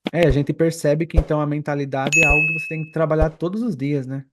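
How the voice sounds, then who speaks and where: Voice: mid-range voice, steady tone, fast, heavy background noise. A Brazilian podcast host chatting casually, summing up points and checking agreement with short tag questions. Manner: agreeing, tags statements with questions. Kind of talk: casual interview chat, summing up the guest's points.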